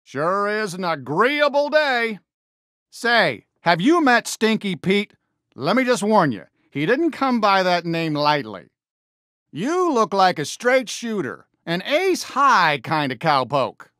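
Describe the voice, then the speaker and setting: A man's voice: high-pitched, big pitch swings, slow pace, pushed and bright, no room sound, clean studio sound, full character voice. A man voicing a friendly, upbeat toy cowboy sheriff for a video game, with an American accent and a folksy Western drawl. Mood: folksy, good-natured. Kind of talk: animated video game character lines.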